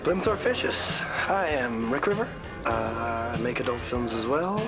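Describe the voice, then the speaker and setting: Nervous male schmoozer, played by an actor, mid-pitched, ingratiating and stammering, loud music behind. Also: lively intonation, measured pace, close to an everyday voice. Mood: eager, fawning. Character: needy, fawning, two-faced male adult film maker. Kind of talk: mockumentary comedy acting.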